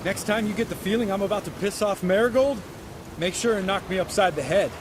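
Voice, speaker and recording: A man playing a brash, wry fighter in a video game, with a blunt, excitable delivery. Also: high voice, fairly quick, heavy background noise, lightly acted. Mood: exasperated.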